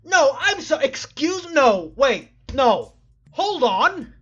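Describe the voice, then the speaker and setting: High, animated woman gaming, exasperated and sweary. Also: takes it slowly, muffled recording. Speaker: gaming video host. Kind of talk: casual let's play commentary.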